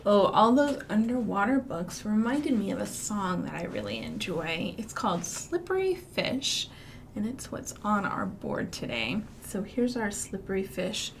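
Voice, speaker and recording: A woman from the American Midwest leading a storytime for young children as a librarian, chanting rhymes and songs in a sing-song way with big excited swoops. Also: medium pitch, measured pace, creaky, a little hiss or hum.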